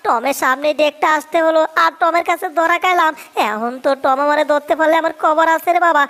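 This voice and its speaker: Very high-pitched, exclaiming little cartoon mouse. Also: a little fast, fairly bright tone. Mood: panicked. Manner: exclamatory, self-muttering, wailing in colloquial speech. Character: cheeky, greedy little cartoon mouse, easily panicked. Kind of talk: comic cartoon dubbing.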